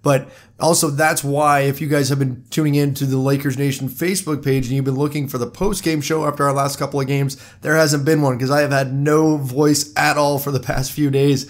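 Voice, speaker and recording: A man hosting a solo podcast, talking straight to his listeners in a conversational way, hoarse from a cold. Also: mid-range voice, little pitch movement, brisk. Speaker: podcast host. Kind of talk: solo sports podcast monologue.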